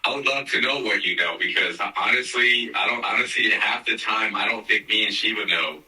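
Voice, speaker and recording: A man's voice: on the low side, fairly quick, bright, forceful tone, far from the mic. Teasing man in his thirties, echoey room. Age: 30s. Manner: joking, teasing, laughs often. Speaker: podcast host. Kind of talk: casual podcast chat.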